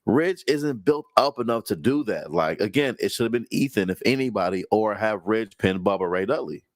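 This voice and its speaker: A man's voice: fairly low pitch, expressive, normal speed, no echo, clean studio sound. A man co-hosting a casual wrestling review, with a stuffy nose and a rambling way of talking full of likes and restarts. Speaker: co-host. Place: home in Houston.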